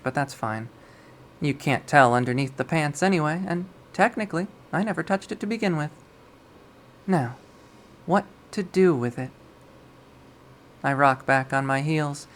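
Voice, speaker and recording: A narrator reading a visual novel aloud, quiet and measured, softly voicing the characters. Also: mid-range voice.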